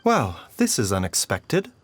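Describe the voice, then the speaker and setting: A man voicing a polite, calm, diplomatic pharmacist in an anime video game, speaking in a measured, formal way. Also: lowish voice, faint music behind. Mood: courteous.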